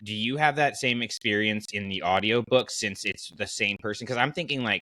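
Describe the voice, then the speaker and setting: A man's voice: lowish voice, fairly quick, pushed and bright, no room sound, low-quality recording. A man hosting a podcast in a casual, informal and enthusiastic chatty style. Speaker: podcast host.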